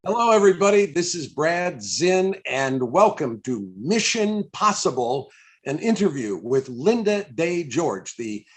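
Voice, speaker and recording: A middle-aged American man hosting an online event in a casual, chatty, halting way on a video call. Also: fairly high pitch, sing-song, husky. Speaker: event host and interviewer.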